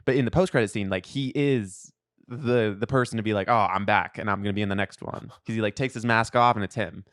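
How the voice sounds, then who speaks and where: A man's voice: on the low side, steady tone, brisk, fairly bright tone, dry recording, studio quality. A man co-hosting a podcast in casual, rambling, slangy chat, often acting out imagined lines of dialogue. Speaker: podcast co-host. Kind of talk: casual pop culture chat.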